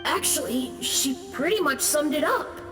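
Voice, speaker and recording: A high, timid little ghost boy in an anime game. He is innocent, hesitant and apologetic. The voice trails long reverb over faint music. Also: expressive, moderate pace.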